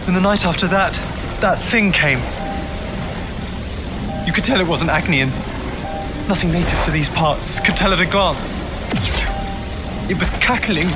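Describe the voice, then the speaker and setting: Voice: mid-range voice, close to an everyday voice. An actor playing a shaken, wary young soldier in a video game cutscene. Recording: music behind the voice.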